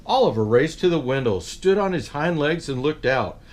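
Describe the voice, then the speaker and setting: A man's voice: a little high, animated, normal speed, darkish tone. A man in a Santa persona reading a children's picture book aloud, with playful asides to his young listeners.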